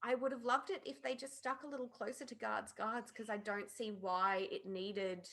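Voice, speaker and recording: A woman's voice: a little high, fairly even intonation, moderate pace, gravelly, bright, forceful tone. A woman on a podcast panel, giving her opinions in casual discussion of pop culture.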